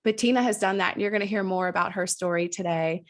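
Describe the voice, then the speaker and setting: A woman hosting a podcast interview, enthusiastic and effusive, a little nasal and raspy from allergies. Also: mid-range voice, fast. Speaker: podcast host. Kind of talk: conversational interview.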